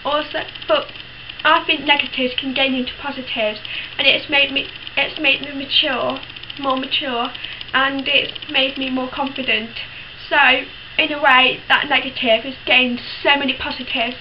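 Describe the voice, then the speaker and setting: A woman's voice: high voice, steady tone, moderate pace. A young woman in her teens talking casually to camera, frank and chatty, with lots of fillers and restarts. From United Kingdom. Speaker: vlogger. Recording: dull lo-fi sound with clear background hiss.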